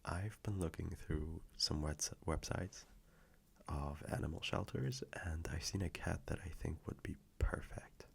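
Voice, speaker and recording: A very low, heavily creaky male voice of an actor plays an affectionate boyfriend in an intimate audio roleplay. He speaks softly and slowly, gentle and reassuring, sometimes slipping into breathiness. A faint noise sits behind the close recording. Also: exaggerated voice. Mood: hopeful, coaxing. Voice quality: low, gravelly, breathy at times. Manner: soft, slow, reassuring, intimate.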